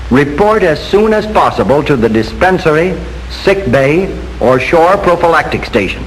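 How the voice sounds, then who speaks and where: An American man reads a scripted 1940s instructional film narration in a formal, measured, instructive manner. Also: a little high. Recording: noisy 1940s film soundtrack with hiss.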